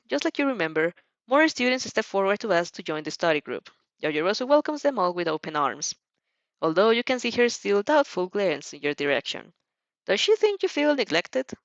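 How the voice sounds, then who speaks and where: A woman reading fan fiction aloud expressively, in an animated amateur way, stumbling over and repeating words. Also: medium pitch, dry recording, muffled recording.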